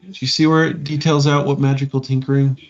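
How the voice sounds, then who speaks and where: A man co-hosting a podcast in casual chat, halting and repeating his phrases. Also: mid-range voice, level tone, lo-fi sound. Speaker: podcast co-host. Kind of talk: casual chat while reading through game rules.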